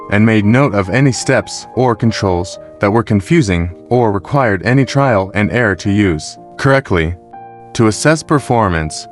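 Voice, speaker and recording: A man reads a scripted product review narration in a measured, explanatory way. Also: lowish voice. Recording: music behind the voice. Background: background music.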